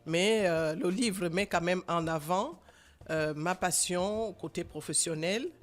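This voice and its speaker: A woman in her sixties with a Gabonese French accent, a guest author speaking earnestly and emphatically in a radio interview, pausing often with fillers. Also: lowish voice. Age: 60s. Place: radio studio.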